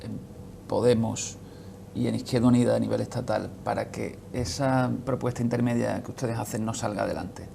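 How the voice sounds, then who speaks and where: A man in his thirties, a panel analyst on a TV show, pressing a guest with rapid questions. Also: on the low side, fairly even intonation, gravelly, noisy background. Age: 30s. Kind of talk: pointed interview questioning.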